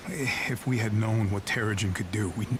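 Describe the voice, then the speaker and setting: A man's voice: lowish voice, moderate pace, background noise, natural voice. A man playing an earnest, noble, big-hearted thunder god in a video game, grave and formal in his delivery. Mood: regretful, grave. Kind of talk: dramatic video game dialogue.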